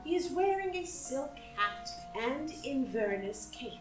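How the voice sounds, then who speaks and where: A woman's voice: high voice, sing-song, a little slow. A woman reading stage directions as scripted narration for a play. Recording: echoey room, distant mic, music.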